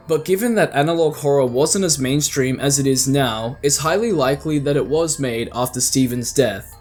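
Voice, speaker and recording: A man with a slightly high voice narrates a scripted video essay. He talks like a casual online host, dropping slangy asides into his commentary. Music plays faintly behind him.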